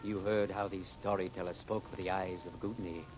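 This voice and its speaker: Deep-voiced ardent desert suitor played by a man. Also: little pitch movement, moderate pace, a little mellow, slight background noise, natural voice. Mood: tender, ardent. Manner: formal, flowery, intense. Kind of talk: 1940s radio acting, romantic melodrama. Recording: muffled vintage radio recording with music. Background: music.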